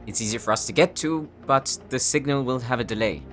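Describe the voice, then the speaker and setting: A woman playing an excitable, high-strung tech expert in an audio drama, flustered, emphatic and dramatic. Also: fairly quick, soft background music. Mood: insistent. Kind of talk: anime-style audio roleplay acting.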